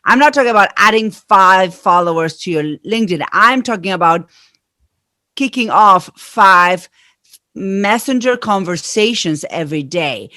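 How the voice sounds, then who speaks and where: A woman's voice: medium pitch, animated, a little slow, bright, forceful tone, no echo. A middle-aged woman from Argentina, with an Argentine accent, explaining her approach earnestly as a podcast guest. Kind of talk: interview answers.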